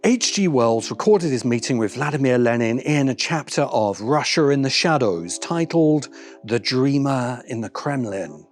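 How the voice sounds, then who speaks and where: A man narrating a scripted video essay in a deliberate way, with dramatic pauses. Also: mid-range voice, expressive. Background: background music.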